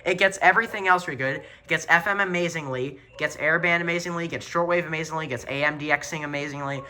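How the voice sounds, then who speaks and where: Bright-voiced young man, rambling casually. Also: a little high, quick pace. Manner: rambling, repetitive, blunt opinions. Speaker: video host showing a radio collection. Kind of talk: casual off-the-cuff show-and-tell.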